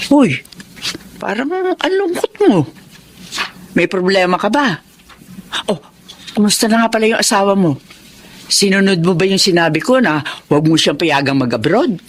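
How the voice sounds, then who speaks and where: A woman's voice: mid-range voice, animated, moderate pace, pauses often, natural voice. An actress playing a stubborn, defensive older woman fortune-teller in a radio drama, exclaiming and justifying herself. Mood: chatty, prying. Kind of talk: Tagalog radio drama acting.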